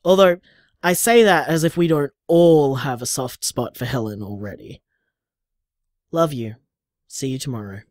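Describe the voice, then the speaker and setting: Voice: mid-range voice, measured pace, long pauses, darkish tone, no echo, studio quality, lightly acted. An actor playing an affectionate, emotional partner leaving candid, conversational voice messages for a lover in an audio drama. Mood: affectionate, relaxed. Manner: candid, tender, conversational, sighing, mild swearing.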